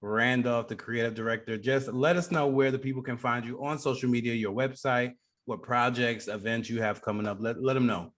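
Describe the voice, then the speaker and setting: A man's voice: mid-range voice, fairly even intonation, brisk, few pauses, fairly bright tone. A man hosting a radio interview in a casual, informal and slangy way. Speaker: radio show host, interviewer.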